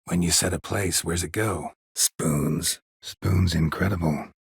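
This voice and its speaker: A world-weary video game monster hunter with an extremely low, husky voice. He speaks in terse, deadpan lines, stoic and dryly sardonic, recorded close and dry with no room around him. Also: takes it slowly, dry recording, full character voice. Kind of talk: video game character dialogue.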